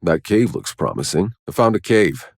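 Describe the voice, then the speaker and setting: Gruff video game soldier, husky, wry, understated, battle-worn, close dry recording. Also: male voice, deep voice, big pitch swings, normal speed, dry recording, exaggerated voice. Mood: wry, upbeat. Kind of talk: video game voice lines, dramatic acting.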